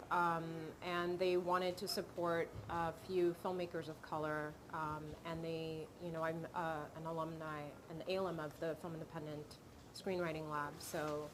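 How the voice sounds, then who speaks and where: A woman from Cameroon, a film director, talking reflectively in an interview with many pauses and restarts. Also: lowish voice, noisy background. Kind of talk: video call interview.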